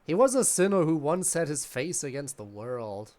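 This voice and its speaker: A man giving casual, sardonic game playthrough commentary with deadpan quips. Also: fairly high pitch, normal speed. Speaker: game streamer. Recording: game music behind the voice.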